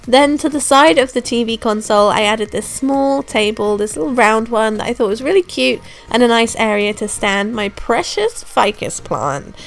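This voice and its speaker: Young woman, hoarse and gravelly, slightly high, chatty DIY voiceover. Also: moderate pace, hardly any pauses. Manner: chatty, relaxed, self-deprecating. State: hoarse from a throat infection. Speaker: home renovation vlogger. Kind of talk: casual voiceover narration. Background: soft background music.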